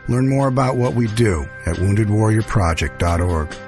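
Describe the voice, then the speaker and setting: A man's voice: on the low side, expressive, moderate pace, over music. A man narrating a charity radio ad in an earnest, solemn, scripted read.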